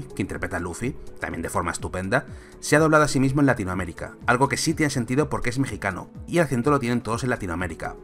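A man's voice: on the low side, fairly bright tone. A man in his thirties from Spain, a film commentator giving an argumentative, sarcastic opinion vlog on a close mic.

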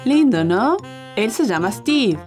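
A woman's voice: fairly high pitch, big pitch swings, slow pace, darkish tone. A woman voicing a friendly, helpful young shop assistant in a children's cartoon dub, with a Latin American Spanish accent. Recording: music behind the voice.

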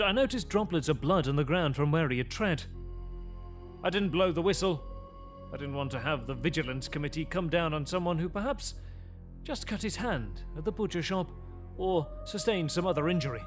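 Frightened young male survivor, played by a man, grave theatrical horror storytelling, somewhat high voice. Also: lightly acted. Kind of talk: dramatic first-person gothic horror narration.

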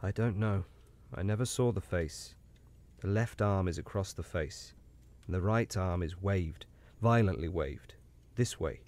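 A man voicing a troubled, lonely railway signalman who speaks low and gravely in an audiobook. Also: unhurried. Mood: hushed. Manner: low, hushed, grave speech. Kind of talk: audiobook character reading.

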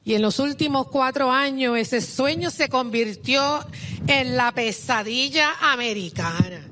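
A woman's voice: sits high, measured pace, fairly bright tone, a little hiss or hum. A middle-aged woman from Puerto Rico with a Puerto Rican Spanish accent, giving an impassioned political speech at an outdoor podium mic. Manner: impassioned, exhorting the crowd. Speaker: rally speaker.

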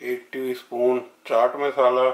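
A man's voice: medium pitch, monotone, takes it slowly, a little hiss or hum. A middle-aged man, explaining a recipe step by step in a casual, friendly way in his home kitchen. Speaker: home cook. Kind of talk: casual cooking vlog. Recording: echoey kitchen.

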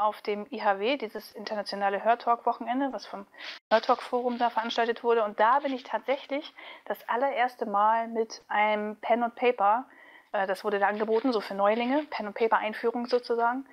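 A woman with a fairly high voice talks casually in an interview. She comes across as chatty and animated, explaining things in a loose, conversational way. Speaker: voice actress, interview guest.

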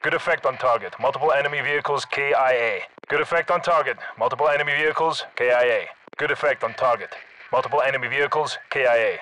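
Game casting: male actor as Scottish special forces soldier, commanding and tough, forceful edge, clipped combat delivery. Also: medium pitch, quick pace, natural voice, low-quality recording. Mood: businesslike, terse. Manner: clipped, terse radio-style military callouts. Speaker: video game squad leader. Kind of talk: military shooter game battle chatter.

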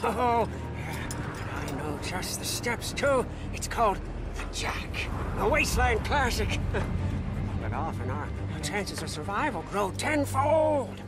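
An actor playing a fervent, servile mechanic zealot in a video game, pleading and grandiose with religious phrasing. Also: male voice, high-pitched, very animated, slow, over loud music, exaggerated voice. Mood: eager. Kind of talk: video game character acting.

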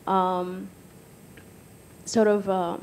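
A young woman from Egypt speaking hesitantly as a panellist on stage, pausing often, into a handheld microphone. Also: mid-range voice, flat delivery, a little mellow, a little hiss or hum. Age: young adult. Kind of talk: personal account in a panel discussion. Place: stage in an auditorium with audience.

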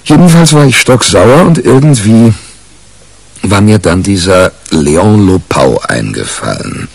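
An actor playing a disgruntled, self-mocking newspaper reporter in a radio crime drama, colloquial and grumbling. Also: male voice, deep voice, vocal fry, husky, heavily acted. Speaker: actor narrating as the lead character.

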